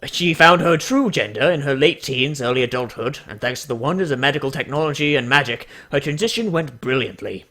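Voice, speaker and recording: A man putting on a solemn, measured narrator for a mock-dramatic storybook reading of a pony character profile. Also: medium pitch, expressive, bright, forceful tone.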